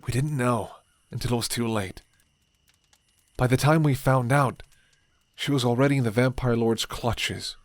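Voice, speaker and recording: A man's voice: mid-range voice, measured pace, long pauses, no room sound, lightly acted. A man voicing a sardonic rebel leader who mocks an old friend and then pleads earnestly. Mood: grave.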